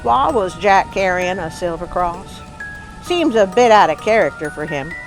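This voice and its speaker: A woman's voice: lowish voice, animated, normal speed, rough recording. Snarky, skeptical puppet heckler, played by a woman, wisecracking and interrupting, children's story show, music beneath. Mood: skeptical heckling.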